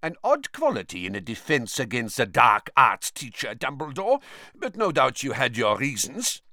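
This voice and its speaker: A man's voice: mid-range voice, wildly swooping pitch, normal speed, sharp tone, no echo, studio quality, pitched above the performer's own voice. A man voicing a cold, haughty male wizard who speaks with mocking sarcasm. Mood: cold mockery.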